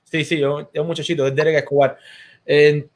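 A chatty man in his thirties with a Cuban accent hosts a casual baseball talk show. His voice sits a touch high, and he talks at a loose, friendly clip. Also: flat delivery, fairly quick. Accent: Cuban Spanish. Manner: chatty, sends greetings to viewers. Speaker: host.

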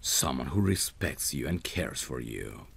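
Very deep, gravelly, tender male friend played by a man. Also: sing-song, a bit bright, exaggerated voice. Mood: earnest, lovesick. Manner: tender, soothing, unhurried. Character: protective lovesick male friend, gentle and devoted. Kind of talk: ASMR romantic roleplay, slow intimate delivery.

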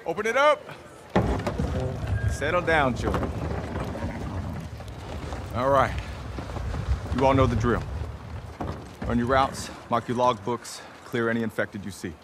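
A young man in charge of a patrol. His voice is lowish and animated, issuing brisk, clipped orders. Clear background ambience sits behind him. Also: noisy background. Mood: brisk, commanding. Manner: commanding, clipped instructions. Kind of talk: video game drama acting.

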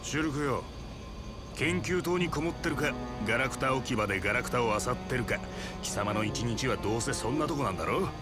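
A man playing a rugged middle-aged mercenary mentor in a Japanese video game, rough and casual in speech, with music behind. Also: mid-range voice, expressive, brisk, natural voice. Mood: teasing. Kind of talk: anime-style game voice acting.

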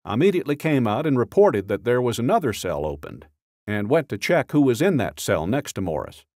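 Man narrating with very lively pitch. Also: a little fast, no room sound, studio quality. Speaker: audiobook narrator. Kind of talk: scripted audiobook narration of true crime. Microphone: close studio microphone.

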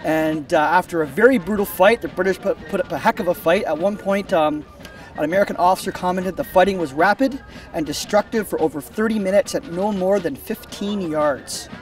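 A man's voice: high voice, brisk, distant mic, soft background music. A middle-aged man in costume explaining a battle's history outdoors at a reenactment event, talking casually with fillers. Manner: conversational, with fillers and restarts.

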